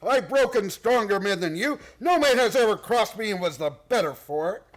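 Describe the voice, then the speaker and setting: Man playing high-voiced, proud, domineering tycoon. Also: expressive, moderate pace. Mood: indignant, threatening. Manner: forceful, blunt, formal. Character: proud, domineering, wealthy male gold magnate, defensive. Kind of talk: radio play acting.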